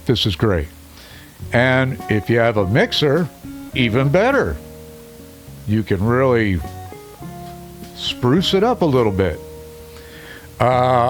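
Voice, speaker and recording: A deep-voiced man talks straight into a studio microphone, reviewing it casually. His pitch sits in the ordinary middle for a man. He rambles a little, with plenty of fillers. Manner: chatty, loose, with frequent fillers. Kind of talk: casual product review to camera. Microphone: studio microphone under test.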